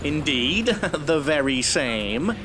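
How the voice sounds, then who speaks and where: An actor playing a chatty, fussy, jokey coach passenger in a comedy audio play, with a flustered, prattling manner. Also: male voice, fairly high pitch, big pitch swings, takes it slowly, over music. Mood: chatty, playful.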